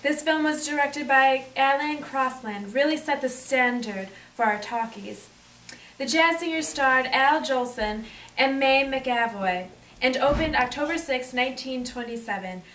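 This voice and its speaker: A perky female 1920s radio news anchor, played by a woman, with a higher-pitched voice. She reads her bulletins in period slang with the odd slip. The room is echoey and the mic is some way off, with noise behind. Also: a little slow, fairly bright tone, recorded from a distance. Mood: newsy. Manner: 1920s slang, reading from script with slips. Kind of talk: scripted 1920s news broadcast.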